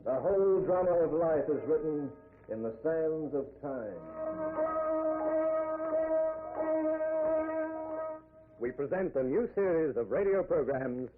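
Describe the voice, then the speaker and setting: Portentous, higher-pitched radio narrator played by a man. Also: expressive, over music, natural voice. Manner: portentous, deliberate. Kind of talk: 1940s radio drama introduction. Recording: tinny old radio recording, faint noise.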